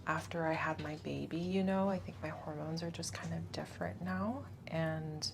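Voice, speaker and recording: A woman playing a patient in a quiet ASMR roleplay, talking softly and hesitantly and trailing off into whispers. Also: low-pitched, steady tone, normal speed. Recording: clear background noise.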